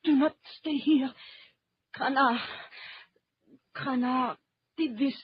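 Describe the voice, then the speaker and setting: A very husky, breathy, high-pitched dancer-accomplice in a radio adventure. She speaks with dramatic intensity on a muffled, dry vintage recording. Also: steady tone, takes it slowly, no echo, heavily acted. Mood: wounded, bitter. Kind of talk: old-time radio adventure serial acting.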